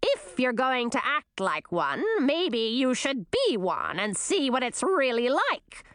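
A woman voicing a cruel queen turned into a hag, with a raspy, scornful voice in a storybook reading. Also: sits high, big pitch swings, slow pace, fairly bright tone, no echo, exaggerated voice. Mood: gleeful.

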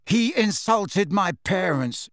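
A man's voice: sits high, animated, takes it slowly, a bit bright, no echo, clean studio sound, voice pushed up, exaggerated voice. A man voicing a defiant teenage schoolboy in an audiobook, aggrieved and snarling.

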